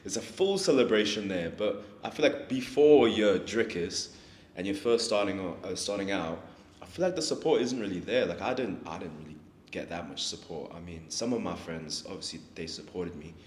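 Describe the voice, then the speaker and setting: A young man from Africa, talking through his story in a laid-back, halting way as an interview guest. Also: sits low. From South Africa. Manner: laid-back, hesitant, restarts often. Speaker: guest, fighter. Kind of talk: casual interview. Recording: echoey room with faint noise.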